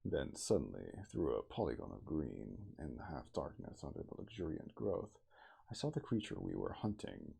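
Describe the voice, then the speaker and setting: An extremely deep-voiced man reads a story aloud in a dramatic ASMR style. His voice is husky and crackles with fry, and his deliberate phrasing rises and falls expressively. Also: big pitch swings, warm tone. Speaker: audiobook reader.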